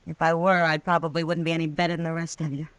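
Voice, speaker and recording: A woman's voice: deep voice, little pitch movement, fast talker, dry recording, lightly acted. An actress playing a worldly, wry woman of the Old West in a 1950s radio western.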